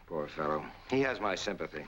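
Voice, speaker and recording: An actor with an American accent playing a smooth, courteous swindler in a 1940s film noir. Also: male voice, sits low, lively intonation, fairly quick, a bit bright. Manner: polite, businesslike. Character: smooth, courteous male swindler posing as husband. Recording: dull, noisy old film soundtrack.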